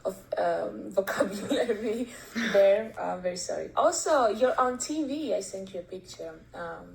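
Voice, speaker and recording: A woman's voice: medium pitch, lively intonation, unhurried, vocal fry, darkish tone, poor audio. Hesitant Greek-accented woman, voice memo. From Greece. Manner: hesitant, many ums. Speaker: fan sending a voice memo.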